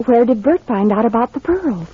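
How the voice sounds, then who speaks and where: Worried young lady in an old radio mystery, earnest n emotional, really husky, dull radio sound. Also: fairly high pitch, animated, normal speed, no echo. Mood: earnest, troubled. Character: earnest, anxious young lady seeking family truth. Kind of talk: 1940s American radio mystery acting. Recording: muffled old radio recording.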